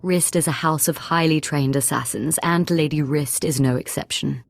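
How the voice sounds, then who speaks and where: A polished political schemer from a space fantasy game. She speaks low and conspiratorially, savouring gossip, with soft music underneath. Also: moderate pace, vocal fry, dry recording. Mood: intrigued, gossipy. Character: scheming, gossip-loving noblewoman political ally. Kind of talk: video game voice acting.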